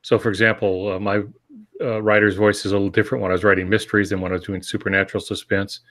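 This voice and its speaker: An older man, speaking as a panelist in a casual discussion, measured and explanatory. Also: lowish voice, little pitch movement, a bit bright. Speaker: podcast panelist and novelist.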